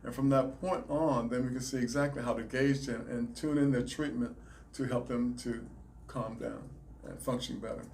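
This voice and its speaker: A man with a Southern US accent, a chiropractor, answering interview questions in a measured, explanatory way. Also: medium pitch, steady tone, a little mellow, a little hiss or hum. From Alabama, raised in South Carolina. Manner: measured, explanatory, some restarts. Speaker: chiropractor, guest.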